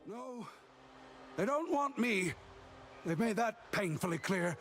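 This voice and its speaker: An actor playing a bitter, mournful outcast who craves revenge, theatrical and plaintive, in a video game. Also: male voice, high voice, animated, takes it slowly, a bit bright, exaggerated voice. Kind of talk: video game quest dialogue acting. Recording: clear background ambient noise.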